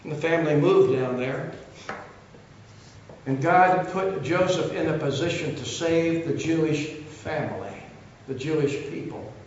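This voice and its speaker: Older preacher with a rough throat. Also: male voice, a little high, measured pace. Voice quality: coughing, cough drop in mouth. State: losing his voice, throat trouble. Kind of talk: sermon storytelling. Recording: very echoey, dull sound, faint hiss.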